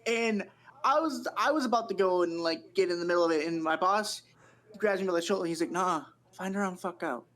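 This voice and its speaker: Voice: mid-range voice, brisk, a bit bright. A person from western Canada chatting casually and telling anecdotes. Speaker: talk show guest, former school youth worker.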